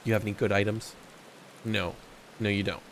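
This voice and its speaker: A man's voice: fairly low pitch, level tone, normal speed, a little hiss or hum. A man streaming a game, chatting casually and reading the dialogue aloud with joking asides. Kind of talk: casual let's-play commentary.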